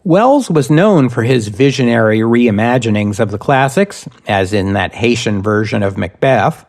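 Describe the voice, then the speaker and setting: A man reading scripted history narration as a podcast host, with measured, deliberate phrasing. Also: mid-range voice, expressive, a little mellow.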